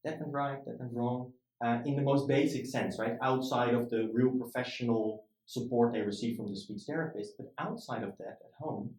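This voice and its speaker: A man's voice: medium pitch, fairly even intonation, moderate pace, darkish tone. A man, a startup founder, talking casually in an interview, rambling and often checking in with "right?". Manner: rambling, frequent "right?" tag questions. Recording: roomy echo.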